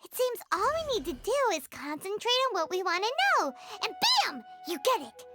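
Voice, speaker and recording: A woman voicing a tiny, excitable, childlike fairy companion girl in a video game, with a squeaky voice and an exclamatory manner. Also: moderate pace, over music. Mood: excited, curious. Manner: exclamatory, speaks of herself in third person.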